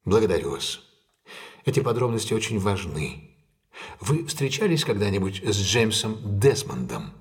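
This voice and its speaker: An actor playing a composed, decisive gentleman detective in an audio drama, speaking with measured, courteous authority. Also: lowish voice, big pitch swings. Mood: probing, serious.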